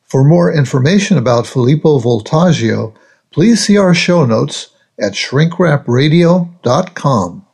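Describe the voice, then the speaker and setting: An older man hosting a casual interview over a video call, relaxed and chuckling often as he asks his questions. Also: a little high, measured pace, gravelly, husky. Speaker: podcast host, psychologist. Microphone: remote call microphone.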